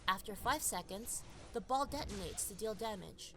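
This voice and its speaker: A woman reading scripted, explanatory narration for a game guide in a measured, instructional manner. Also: medium pitch, animated, sharp tone, soft background music.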